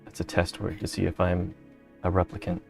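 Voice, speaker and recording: A man's voice: low voice, completely flat delivery, brisk, vocal fry, over music. A man chatting casually on a stream, dry and playful with his co-host.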